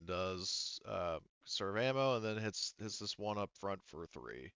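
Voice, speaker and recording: A man's voice: low-pitched, animated, measured pace, fairly bright tone, dull audio, slight background noise. A man narrating a tabletop roleplaying game as game master in a casual way, thinking aloud and swearing freely.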